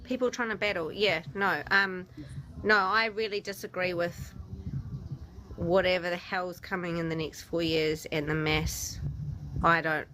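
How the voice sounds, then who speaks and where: A woman's voice: lowish voice, steady tone, measured pace, bright, forceful tone, a little hiss or hum, poor audio. A woman from New Zealand with a New Zealand accent, chatting loosely as a livestream guest. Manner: chatty, loose, uses filler like "dude" and "like". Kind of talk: casual live chat.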